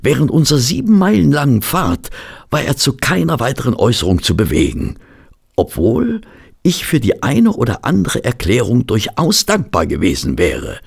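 An actor playing a fussy gentleman who is a detective's companion and narrator in a German audio drama. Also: mid-range voice, wildly swooping pitch, gravelly, slightly rough, exaggerated voice. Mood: measured, sombre. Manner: flustered in dialogue, measured and literary when narrating.

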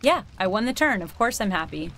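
A woman's voice: mid-range voice, big pitch swings, a little fast, a bit bright, slight background noise. A young woman playing a sarcastic, combative teenage girl in a naturalistic video game, dry and goading. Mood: smug, cutting. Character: snide, combative teenage girl, cool and needling.

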